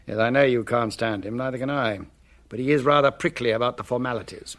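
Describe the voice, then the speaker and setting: An actor with a British accent playing an affable, brisk senior police officer in a radio drama. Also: male voice, mid-range voice, fairly bright tone, natural voice. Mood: affably brisk. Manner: brisk, conversational authority. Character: affable, breezy male senior police superior.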